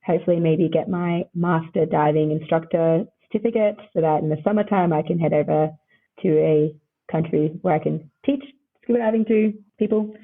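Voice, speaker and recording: Aussie woman, croaky and kinda husky, lowish voice, sounds like a phone line, laughs a lot. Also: a little fast. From Australia. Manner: upbeat, laughs often between answers. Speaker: podcast guest. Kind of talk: casual interview chat.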